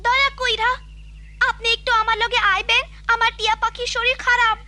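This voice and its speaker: A woman voicing a bright, helpful little village girl in a cartoon, with a rural Bangladeshi accent. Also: very high-pitched, level tone, normal speed, full character voice. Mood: worried. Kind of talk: cartoon voice acting.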